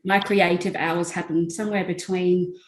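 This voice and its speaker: A woman's voice: on the low side, level tone, normal speed, roomy sound, rough recording. A woman guest on a video call, an entrepreneurship facilitator, talking steadily with frequent fillers. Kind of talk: guest telling her story in an interview.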